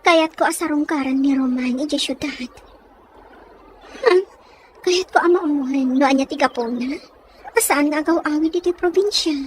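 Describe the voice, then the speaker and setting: Wistful, dutiful Ilocano Filipina daughter played by a woman, very high voice, audio drama, plaintive delivery, noisy ambience. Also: normal speed, pauses often, natural voice. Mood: longing. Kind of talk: audio comedy-drama acting.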